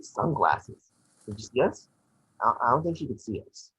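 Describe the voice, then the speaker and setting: Man as a swooping, husky little detective creature. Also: medium pitch, big pitch swings, moderate pace, fairly bright tone, dry recording, heavily acted, low-quality recording. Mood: wisecracking, brisk. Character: small wisecracking detective creature, excitable, male. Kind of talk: table read of film script.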